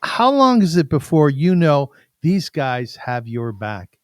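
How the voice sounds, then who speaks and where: An effusive male interview host who piles on praise and restarts his phrases as he talks. His voice is smooth and resonant, with a dry, close sound and no room echo. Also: a little high, sing-song, slow pace. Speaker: show host. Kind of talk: interview hosting.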